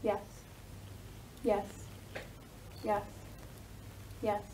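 A woman's voice: mid-range voice, level tone, very slow, mellow. A young adult woman answering a clinician in single words and short phrases as a patient. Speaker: patient being examined. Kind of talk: brief answers during an exam. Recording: distant mic, faint noise.

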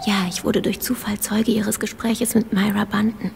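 An actress playing a secretive, guilt-ridden informant in a radio play, with a breathy, half-whispered, gravelly voice and a halting, cryptic manner. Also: female voice, medium pitch, little pitch movement, a little mellow. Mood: wary, secretive. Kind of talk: German radio play acting. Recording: reverberant hall, faint background noise.

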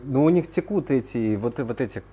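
A man's voice: mid-range voice, expressive, moderate pace, mellow, husky. A man hosting a casual video tour in informal, slangy, enthusiastic speech, indoors. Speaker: host of an aquarium video series. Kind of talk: casual vlog tour chat. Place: indoors, room with large aquariums. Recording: noticeable background noise.

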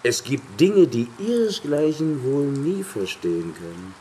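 An actor playing a rough, superstitious sea captain who curses bluntly in a German audio drama. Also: male voice, medium pitch, big pitch swings, unhurried, dark tone, a little hiss or hum. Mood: grim, uneasy.

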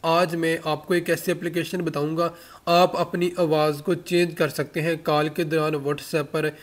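Male tech tutorial host, high-pitched voice, instructional step-by-step delivery straight to the viewer. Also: flat delivery, a little slow. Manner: instructional, addressing viewers directly. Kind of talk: spoken app tutorial, step by step.

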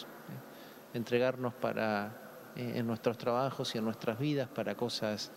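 A priest in his thirties with a Rioplatense Spanish accent speaks into a lectern microphone in a large church. He preaches gently and conversationally, with a middle-range voice and many "eh" fillers. His voice carries a long reverb from the hall, over a faint background hum. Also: mid-range voice. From Argentina. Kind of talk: gospel reading and homily.

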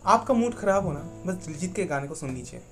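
Excited young man. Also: high voice, faint music behind. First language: Bengali. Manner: excited, chatty, rambling, self-correcting in halting Hindi. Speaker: YouTube reaction channel host. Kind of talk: casual music reaction vlog. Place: small room indoors.

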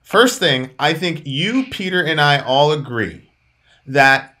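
A man in his thirties, originally from Jamaica, co-hosting and arguing politics heatedly over a video call from a dark room at home, talking emphatically and gesturing. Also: a little high, expressive, slow pace. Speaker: co-host.

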